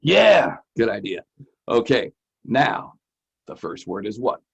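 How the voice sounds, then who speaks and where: A man's voice: lowish voice, very animated, slow pace, gravelly, slightly rough, highs cut off. A man presenting a lesson as a teacher-host, chatty, folksy and playful, often repeating himself. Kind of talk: casual, chatty educational presenting.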